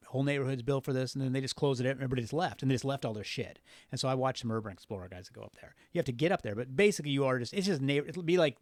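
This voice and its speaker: Casting: male podcast guest, mid-pitched, loose and profane conversational storyteller, dry recording. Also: dry recording. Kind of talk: casual, rambling conversational storytelling.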